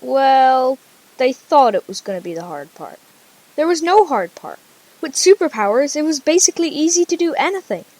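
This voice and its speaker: A woman's voice: high voice, very animated, normal speed, darkish tone, dry recording, low-quality recording. A female storyteller narrating an amateur radio drama informally, with casual conversational asides.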